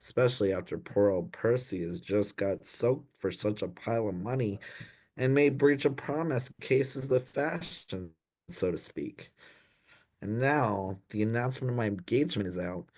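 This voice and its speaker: Male actor as anxious young gentleman, lower-pitched, dithering, apologetic, dim, old-fashioned posh slang, comic radio play. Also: normal speed, close to an everyday voice. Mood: fretful. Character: anxious, dim young upper-class Edwardian gentleman in trouble.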